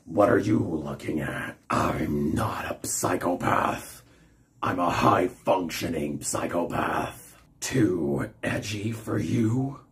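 A young man voicing a grim, menacing hooded wraith mercenary from a video game, in a gravelly, breathy, theatrical growl. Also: sits low, animated, takes it slowly, heavily acted. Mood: sinister, sardonic. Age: young adult. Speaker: video creator doing character voice impressions. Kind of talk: video game villain voice lines.

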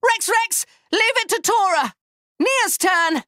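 Very high, squeaky little furry creature. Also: male voice, animated, takes it slowly, sharp tone, no echo, studio quality, exaggerated voice. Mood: encouraging. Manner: cutesy broken grammar, third-person self-reference, exclamatory. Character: small furry Nopon creature, eager, boastful, childlike male inventor. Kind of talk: video game battle voice lines.

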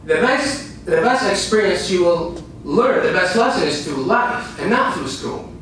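A man in his thirties from Iraq gives an earnest seminar talk to a small audience, repeating lines for emphasis and pausing between phrases. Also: sits high, animated, a bit bright, a little hiss or hum. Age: 30s. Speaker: motivational speaker. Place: dim small room with a projector screen and a small audience. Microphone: far camera, no visible mic. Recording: echoey room recorded from far off.

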